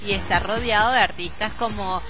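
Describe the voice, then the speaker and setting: A woman's voice: medium pitch, fairly quick, music in the background. A woman with a Venezuelan accent co-hosting a talk show, mixing casual, emphatic chat with bits of news reading and speaking straight to the audience.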